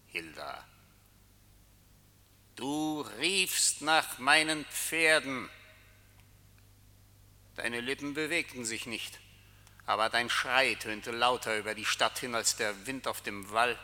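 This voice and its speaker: Slow, echoing, solemn Death. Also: male voice, medium pitch, bright, forceful tone. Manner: measured, declamatory, commanding. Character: Death as a solemn, insistent male coachman summoning a woman. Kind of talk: German radio drama acting. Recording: long reverberant hall.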